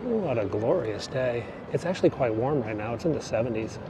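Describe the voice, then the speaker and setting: A man's voice: medium pitch, expressive, brisk. A man casually narrating a bike ride through the city, rambling and correcting himself as he goes, filmed outdoors on an action camera. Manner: chatty, rambling, self-correcting. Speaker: vlogger. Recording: outdoor street and traffic noise. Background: traffic and city ambience.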